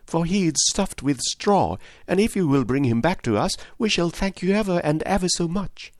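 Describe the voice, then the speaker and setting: A man's voice: on the high side, animated, brisk, a little mellow, no room sound, clean studio sound, pitched above the performer's own voice. A man voicing an earnest, eager young girl in a storybook reading.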